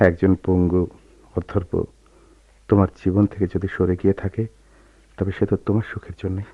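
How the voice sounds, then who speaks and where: Deep-voiced, melancholy Bengali gentleman. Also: flat delivery, husky, no echo. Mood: pained restraint. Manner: measured, literary phrasing. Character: melancholy, soft-spoken educated gentleman, self-sacrificing former lover. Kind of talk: Bengali radio drama acting. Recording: dull lo-fi radio drama recording.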